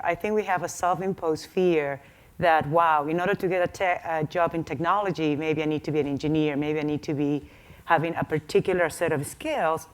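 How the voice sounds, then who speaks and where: A woman on a conference panel, a tech manager who came as an international student, giving direct, encouraging advice. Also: on the low side. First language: not English. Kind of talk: panel discussion.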